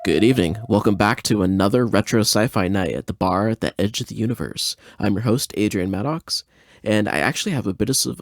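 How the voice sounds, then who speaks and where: A man's voice: lowish voice, dry recording. A man hosting a podcast in a casual, chatty, rambling way, close to the microphone. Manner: informal, rambling. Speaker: podcast host. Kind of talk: casual, chatty show introduction.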